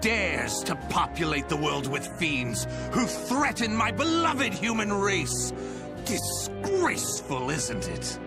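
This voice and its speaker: An actor playing an eccentric, fanatical scientist in a video game, pompous and gloating, with grandiose theatrical delivery. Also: male voice, high voice, sing-song, takes it slowly, heavily acted. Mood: lecturing, scholarly, then disdainful, menacing. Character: eccentric fanatical male scientist, grandiose, obsessed with saving humanity. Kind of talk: dramatic video game character acting. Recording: loud music behind the voice. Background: loud music.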